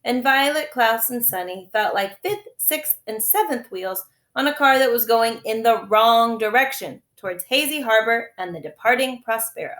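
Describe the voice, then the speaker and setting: High-voiced woman reading aloud, echoey room. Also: lively intonation, moderate pace, few pauses, a bit bright. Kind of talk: storybook narration.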